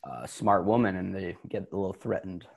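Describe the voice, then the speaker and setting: A young man with a low, gravelly voice chats about a movie on a podcast call. He restarts his sentences often and throws in crude jokes. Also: brisk. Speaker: podcast co-host. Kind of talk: casual chat about a movie.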